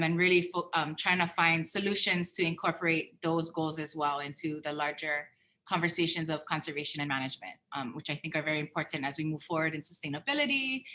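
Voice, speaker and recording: A woman introducing her outlook as a panelist, speaking in a thoughtful, halting way. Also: low-pitched, bright, forceful tone.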